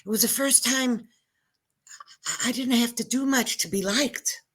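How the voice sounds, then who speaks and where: A woman's voice: a little high, measured pace, fairly bright tone, husky. An older woman born in Israel whose first language was Hebrew, telling her story in a rambling, self-interrupting, joking way as a guest comedian. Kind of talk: casual interview chat.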